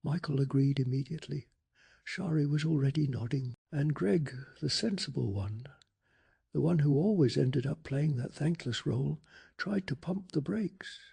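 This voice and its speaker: A man narrating a bedtime story in a soft-spoken ASMR style, calm, hushed and measured, with a breathy, gravelly voice. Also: fairly high pitch.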